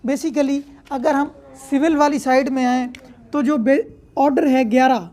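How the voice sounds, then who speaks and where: A woman's voice: high voice, steady tone, measured pace, dark tone. A woman with a Pakistani accent lecturing in an explanatory way, reading formal text, then posing questions and answering them. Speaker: law lecturer.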